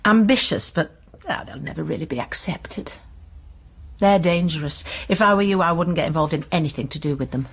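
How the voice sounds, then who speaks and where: A woman's voice: sits low, a little fast, slightly rough. A woman acting a guarded, scornful, reluctant informant with clipped delivery in a radio crime drama. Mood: guarded disdain. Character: resentful, disdainful woman, reluctant police informant.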